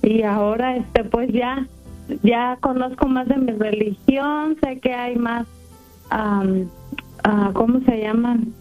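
Mujer mexicana lenta por teléfono. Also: female voice, fairly high pitch, faint music behind. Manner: slow, hesitant, grateful. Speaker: radio caller. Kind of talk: phone call to a radio show. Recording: tinny phone line.

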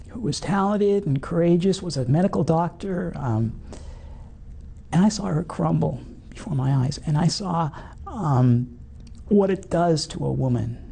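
A man around fifty with a hoarse, worn voice tells his personal story in conversation, earnest and halting with many fillers. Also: high voice, mellow. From Canada. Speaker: guest sharing a personal testimony.

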